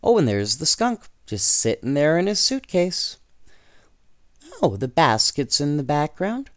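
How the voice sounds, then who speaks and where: A man reading a children's storybook aloud in an expressive, unhurried way, with casual asides. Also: fairly high pitch, no room sound, clean studio sound. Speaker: storybook reader.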